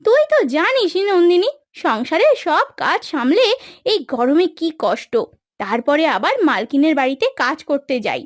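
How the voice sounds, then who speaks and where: Woman acting a very high-pitched, weary village housemaid. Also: big pitch swings, no room sound. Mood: weary, complaining. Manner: plaintive, complaining, drawn-out lamenting. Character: weary young village housemaid and wife, plaintive. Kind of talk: cartoon moral story acting. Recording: dry studio voice over background music.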